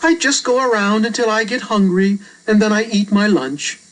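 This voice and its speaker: A woman's voice: medium pitch, moderate pace, pitched below the performer's own voice, natural voice, rough recording. A woman voicing an easygoing, aloof young boy in a children's storybook, offhand and dismissive in manner.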